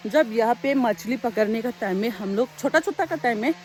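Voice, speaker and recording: A young woman vlogging casually outdoors in the countryside, chatty and excitable, talking into a handheld phone. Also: on the high side, brisk. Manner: chatty, excitable, switches between loud exclamations and quiet asides. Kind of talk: casual vlog narration while walking outdoors. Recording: faint outdoor background noise.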